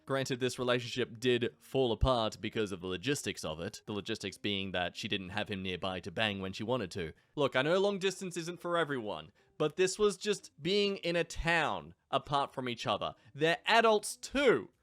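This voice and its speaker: A man's voice: medium pitch, animated, moderate pace, few pauses, a bit bright, no room sound. A young man from England hosting a reaction channel, reading online stories aloud and adding sarcastic asides. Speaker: YouTube reaction host.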